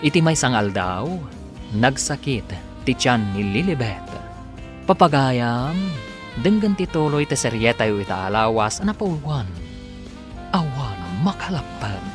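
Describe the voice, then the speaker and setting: A man's voice: mid-range voice, animated, measured pace, pauses often, music in the background. A man with an Ilocano Filipino accent delivering scripted, dramatic radio narration as announcer.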